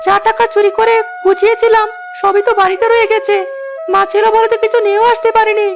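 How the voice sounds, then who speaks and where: A boyish, scheming village lad from a cartoon fairy tale. The voice is extremely high and creaky and rattles along quickly. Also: female voice, level tone, music in the background. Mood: worried. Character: lazy, scheming young village brothers, boyish and defiant.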